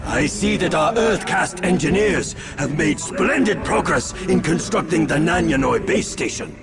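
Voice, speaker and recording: A stately, gracious alien dignitary with a gravelly edge and a lively, rising and falling delivery. He sounds lofty and formal, a game cutscene voice under very loud music. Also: a little high, unhurried, full character voice. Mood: gracious, approving. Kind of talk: video game cutscene acting.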